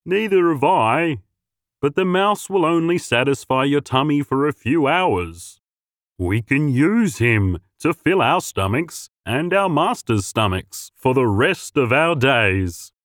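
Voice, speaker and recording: A man voicing a scruffy, clever male mutt dog who speaks loudly and firmly, in a storybook reading. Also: medium pitch, expressive, slow, no room sound, studio quality. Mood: scheming.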